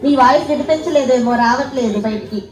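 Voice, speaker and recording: A woman's voice: high voice, quick pace. A woman playfully hosting a children's puppet show, coaxing and repeating questions to her young audience. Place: live children's event in a hall. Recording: echoey hall with crowd and faint noise.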